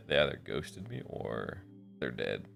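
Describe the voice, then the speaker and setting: An extremely low-voiced man with a heavy fry in his delivery, streaming a visual novel. He moves between narrating the text and chatting informally, with music playing behind him. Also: sing-song, slow, fairly bright tone. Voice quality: deep, gravelly. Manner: slangy, informal asides. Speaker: live streamer. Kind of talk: reading a visual novel aloud with casual commentary.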